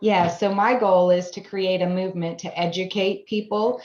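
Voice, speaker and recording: A woman's voice: mid-range voice, fairly even intonation, normal speed, darkish tone, slight background noise. A woman in her forties, a business founder who learned German as a first language, answering interview questions in an earnest way, building her points through repeated phrases. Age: 40s. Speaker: guest, AI education business founder. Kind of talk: interview conversation. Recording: echoey room, dull lo-fi sound.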